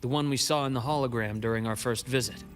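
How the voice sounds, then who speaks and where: An actor playing a composed, analytical male investigator in a video game, speaking in measured, deliberate sentences. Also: mid-range voice, close to an everyday voice. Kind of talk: scripted video game dialogue.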